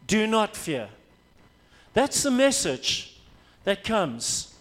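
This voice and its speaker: A man's voice: high-pitched, very animated, extremely slow, fairly bright tone. A man from Africa, a pastor preaching a sermon, emphatic and repeating his key phrases for weight.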